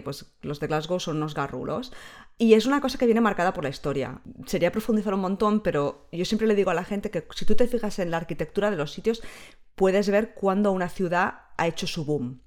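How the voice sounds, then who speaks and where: A woman's voice: fairly low pitch, a little mellow. A woman in her thirties chatting as a podcast guest, explaining things fluently in long stretches. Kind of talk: casual conversation.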